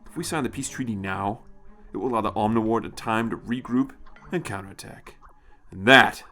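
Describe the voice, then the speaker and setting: A man's voice: lowish voice, fairly quick, bright, forceful tone, faint music behind, voice pushed down. A man voicing a ruthless, fanatical space colonel in an audio story, menacing and contemptuous. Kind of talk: audio short story character.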